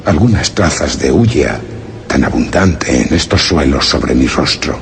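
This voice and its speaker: A man's voice: extremely low pitch, animated, a little slow, gravelly, exaggerated voice. An actor playing a solemn, wondering explorer who narrates his journal in a radio drama, grave and theatrical. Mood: deliberate, scheming. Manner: grave, literary, theatrical, with rhetorical questions. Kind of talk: Spanish radio drama suspense monologue.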